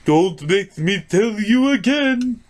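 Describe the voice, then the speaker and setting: A man's voice: high voice, animated, slow, pitched above the performer's own voice. A man voicing a pompous, demanding frog prince puppet who is easily offended, in an imperious comic style. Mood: angry demanding. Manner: imperious, haughty, indignant. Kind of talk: comic puppet show acting.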